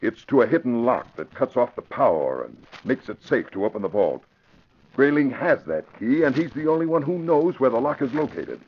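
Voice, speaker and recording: A man's voice: mid-range voice, big pitch swings, moderate pace, breathy edge. A man playing an earnest, authoritative American police commissioner in a classic radio drama. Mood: grave, urgent. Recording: muffled, lo-fi vintage radio recording.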